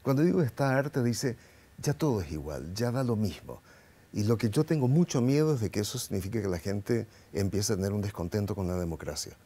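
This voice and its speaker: A middle-aged man with a dark, mellow voice talks as an interview guest. He argues with passion and keeps asking rhetorical questions. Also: lowish voice, lively intonation, brisk, husky. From Paraguay. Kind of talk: interview, political commentary.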